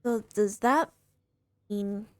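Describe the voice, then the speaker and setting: A woman livestreaming a game, reacting casually in halting, murmured asides. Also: medium pitch, darkish tone, no room sound. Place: indoors, streaming over game audio.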